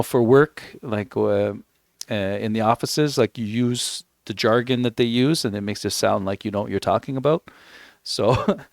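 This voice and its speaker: A man's voice: mid-range voice, vocal fry, clean tone, dry recording, clean studio sound. A man from Canada, whose first language is Italian, chatting casually on a game stream while he plays. He rambles and corrects himself often. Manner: rambling, self-correcting storyteller. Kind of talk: casual chat while gaming.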